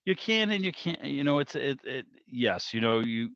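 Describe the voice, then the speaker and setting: A man's voice: mid-range voice, animated, normal speed, a bit bright. A man hosting a casual interview, talking in a rambling, discursive way. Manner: rambling, discursive, circles around his point. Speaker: interviewer, club meeting host. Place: indoors on a video call. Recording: muffled, roomy video-call audio.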